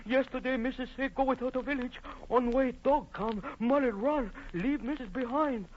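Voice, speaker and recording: A timid, pleading servant in a muffled, hissy old radio drama. The voice is strikingly high, with broken pidgin phrasing. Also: male voice, moderate pace. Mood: fearful denial. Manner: broken pidgin phrasing, third person about self.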